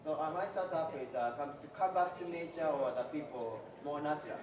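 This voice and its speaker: A man in his twenties pitching his startup in halting speech, full of fillers and restarts. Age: 20s. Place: large event hall. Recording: roomy hall from far off, crowd noise.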